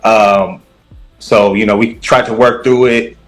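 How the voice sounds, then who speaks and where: Hesitant man in his thirties, fairly low voice. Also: steady tone, measured pace, faint music behind, rough recording. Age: 30s. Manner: hesitant, filler-heavy, evasive. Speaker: contestant on a dating show.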